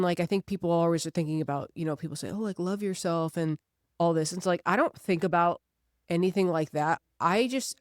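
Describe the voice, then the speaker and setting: A woman's voice: lowish voice, no room sound, clean studio sound. A woman in her thirties speaking as a podcast co-host in casual, reflective conversation, earnest and emphatic, repeating phrases to drive points home. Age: 30s.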